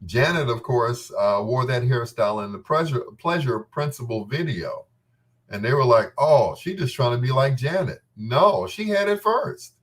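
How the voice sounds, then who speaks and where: A man's voice: medium pitch, animated, normal speed. A man hosting a talk show with a casual, unscripted monologue, gossipy and emphatic, often repeating his phrases. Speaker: host of a bedtime talk show.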